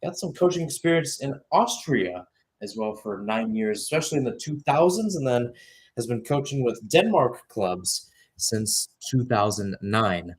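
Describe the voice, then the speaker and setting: A man's voice: medium pitch, lively intonation, a little slow, slightly rough. A young man giving live radio-style soccer play-by-play, talking conversationally and filling in background detail between calls. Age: young adult.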